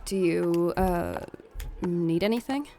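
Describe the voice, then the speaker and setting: A woman voicing a deadpan, slyly polite, weary school aide in an animated comic dub. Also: lowish voice, takes it slowly, soft background music. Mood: politely deflecting. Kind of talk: animated fandub voice acting.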